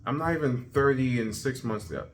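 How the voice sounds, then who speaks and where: A thirty-year-old man talking casually in a self-improvement video journal, energetic and repeating himself as he pushes his point. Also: mid-range voice, moderate pace, soft background music. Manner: energetic, repetitive, self-motivating. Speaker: vlogger.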